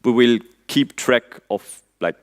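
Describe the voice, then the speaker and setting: A man's voice: medium pitch, slow pace. Austrian man giving a tech talk. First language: German. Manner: quizzes the audience, frequent "um" fillers, relaxed dry humor. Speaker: conference speaker. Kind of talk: technical conference talk. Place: conference stage before an audience.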